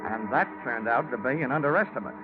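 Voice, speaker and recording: An actor playing a wry, slangy hard-boiled insurance investigator in 1940s radio detective style. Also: male voice, mid-range voice, lively intonation, quick pace, close to an everyday voice. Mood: wry narration. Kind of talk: 1940s radio detective acting and first-person narration. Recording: muffled vintage radio recording, loud music.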